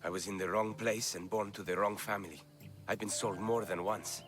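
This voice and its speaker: A man's voice: fairly low pitch, steady tone, normal speed, music in the background, lightly acted. An actor playing a weary, bitter male slave with a downcast manner.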